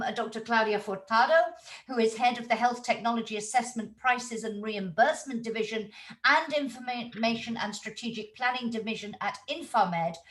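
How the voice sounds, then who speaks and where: Polished woman moderating a panel. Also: fairly high pitch. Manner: fluent, polished introductions.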